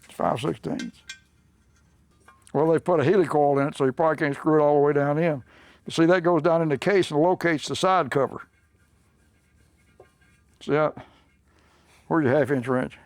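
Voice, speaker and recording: A man's voice: fairly high pitch, moderate pace, pauses often, no room sound. An older man with a Southern US accent, a mechanic explaining a restoration job in a plainspoken, folksy way. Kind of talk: unscripted workshop explanation. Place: workshop.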